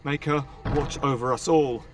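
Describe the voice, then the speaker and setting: A man's voice: mid-range voice, steady tone, normal speed, natural voice. A man playing a loyal, earnest knight in a fantasy game, speaking with formal deference and urgency. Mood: grave. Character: loyal, urgent knight defending a besieged castle. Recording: distant game audio under music.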